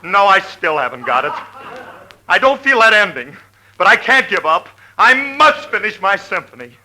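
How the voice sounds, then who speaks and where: A man's voice: high voice, sing-song, normal speed, pushed and bright, heavily acted, rough recording. A man playing a delicate young musical genius in a 1940s radio comedy melodrama, overwrought and earnestly lofty. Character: young self-important composer, delicate dreamy musical genius. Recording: vintage 1940s radio broadcast recording.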